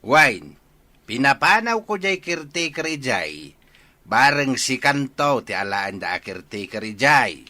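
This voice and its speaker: A man's voice: mid-range voice, big pitch swings, unhurried, bright, forceful tone, exaggerated voice. A man playing a hot-tempered, mocking middle-aged father who bullies and taunts people in a radio drama. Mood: irritated, bossy. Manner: taunting, blustering. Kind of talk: Ilocano radio drama acting.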